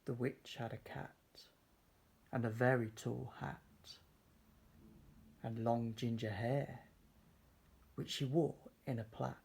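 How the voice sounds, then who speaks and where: A man narrates a children's story with measured, dramatic expression. His pace is very slow and his voice has a creaky, husky grain, at a middling pitch. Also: steady tone, darkish tone. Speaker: storybook reader.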